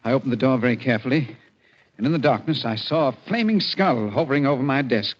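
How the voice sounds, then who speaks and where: A wealthy, self-assured broker played by a man talks at a middling pitch. His delivery is formal and precise, in 1940s radio style. The recording is dull and lo-fi. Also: animated, fast, close to an everyday voice. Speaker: actor in a mystery drama.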